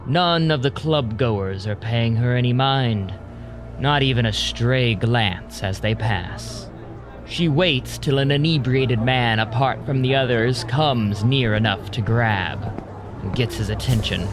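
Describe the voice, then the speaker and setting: A man narrating a scripted audio drama in a measured, deliberate way. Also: mid-range voice, over music. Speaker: narrator, storyteller.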